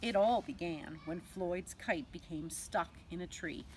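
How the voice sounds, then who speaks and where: A woman reading a picture book aloud to young children like a nursery teacher, expressively, with dramatic pauses and drawn-out words. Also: fairly low pitch, slight background noise.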